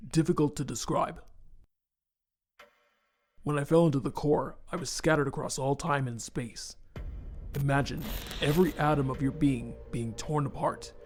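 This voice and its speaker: A man playing a remorseful, haunted skeleton scientist and father, speaking formally and haltingly with earnest care in a fan comic dub. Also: fairly high pitch, soft background music, lightly acted. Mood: haunted, reflective.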